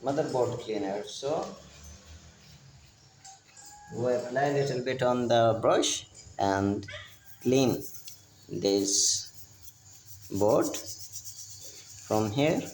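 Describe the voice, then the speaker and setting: A man giving an unscripted hands-on repair demonstration as an instructor, speaking in halting, fragmented phrases. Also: mid-range voice, darkish tone, echoey room, soft background music.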